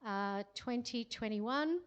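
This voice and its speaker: A woman's voice: a little high, slow, smooth. Australian woman talking in a reverberant hall. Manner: chatty storytelling with fillers. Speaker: guest speaker. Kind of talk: talk at a meetup. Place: event room with a live audience. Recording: long reverb in a venue hall.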